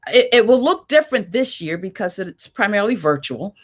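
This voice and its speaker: A woman's voice: mid-range voice, animated, brisk. A woman hosting a virtual panel discussion, speaking in an emphatic, conversational manner as moderator. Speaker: panel moderator, executive director.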